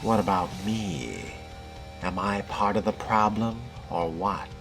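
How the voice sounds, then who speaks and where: A man voicing a guarded fledgling vampire in a video game role-play, with dry, deliberate, sardonic delivery. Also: lowish voice, gravelly, music in the background. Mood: curious, dry.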